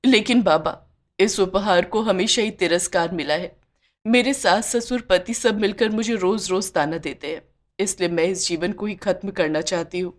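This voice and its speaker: A woman's voice: medium pitch, normal speed, lightly acted. A woman doing a meek tearful young bride. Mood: pleading. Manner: pleading, sorrowful. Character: poor young daughter-in-law, meek and tearful.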